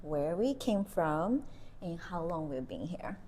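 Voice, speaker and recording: Japanese-accented woman, podcast co-host, measured and easygoing, light hiss on the track. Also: fairly low pitch, sing-song, dark tone. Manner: slow, giggly, with many pauses and fillers. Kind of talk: casual chat.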